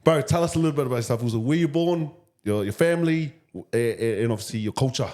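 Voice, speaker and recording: Kiwi bloke hosting a podcast, mid pitch, hyped n matey, real informal interview chat. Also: male voice, fast talker, a little mellow. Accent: New Zealand. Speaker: podcast host.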